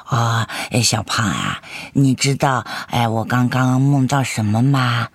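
A woman's voice: a bass voice, lively intonation, slow pace, breathy edge, no room sound, full character voice. An actress playing a doting, encouraging grandmother in her nineties in a Mandarin radio drama, with sighing, chuckling elderly speech. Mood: tender, hopeful. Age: 90s.

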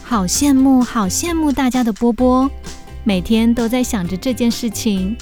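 Higher-pitched woman narrating a children's story. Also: moderate pace, soft background music. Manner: expressive, clearly scripted storytelling.

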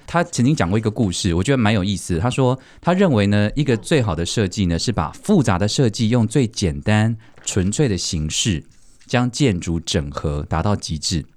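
A man hosting a podcast in a casual, playful, teasing way, chatting to guests and listeners. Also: lowish voice. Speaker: podcast host.

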